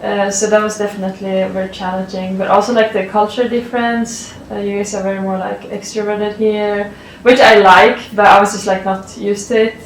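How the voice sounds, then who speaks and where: A woman's voice: mid-range voice, brisk, recorded from a distance, a little hiss or hum. Young Swedish-accented woman athlete, casual interview, mic set back in a roomy space with faint ambience. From Sweden. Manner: relaxed, many fillers and "like". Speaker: college athlete.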